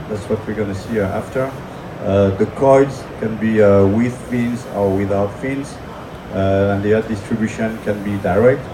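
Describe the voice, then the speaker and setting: A man with a French accent giving a technical conference presentation, hesitant, full of filler sounds and restarts. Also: fairly low pitch. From France. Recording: echoey room from far off, noisy.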